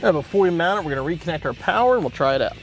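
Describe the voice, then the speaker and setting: A man's voice: on the high side, expressive, very fast, music in the background. A man hosting an instructional car installation demonstration, explaining step by step in plain-spoken language. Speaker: host of car installation how-to videos.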